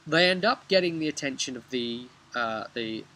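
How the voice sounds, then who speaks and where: Bright-voiced British man recapping a game. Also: medium pitch, animated, normal speed, poor audio. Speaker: game master recapping a session. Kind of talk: casual storytelling recap of a tabletop role-playing game. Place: outdoors, on a bench by a house. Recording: outdoor webcam with background noise.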